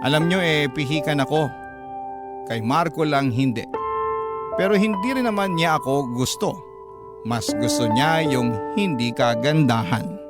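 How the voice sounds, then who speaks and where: A man's voice: a little high, animated, measured pace. A man narrating a scripted first-person radio story in a schoolgirl's words, confiding and dramatic. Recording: music behind the narration.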